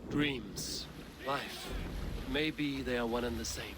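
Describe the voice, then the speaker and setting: Guy acting a terse viking warrior boss, solemn game dialogue, mid pitch, noisy behind. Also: slow pace, natural voice. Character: resolute Viking warrior leader rallying an army. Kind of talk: dramatic video game acting. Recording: game audio with background noise.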